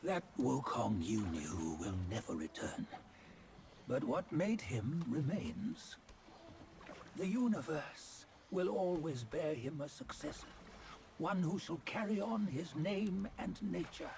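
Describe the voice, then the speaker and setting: A cryptic old sage guide from a video game. He speaks slowly and solemnly in archaic, measured phrases, with sweeping pitch movement. Loud score music plays behind him. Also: heavily acted. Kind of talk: dramatic video game dialogue.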